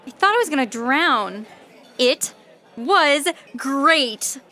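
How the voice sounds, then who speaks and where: A woman's voice: sits high, animated, takes it slowly, crowd noise. A woman playing a reckless, thrill-seeking little talking bird with a gleeful drawl in a comedic audio drama. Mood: giddy.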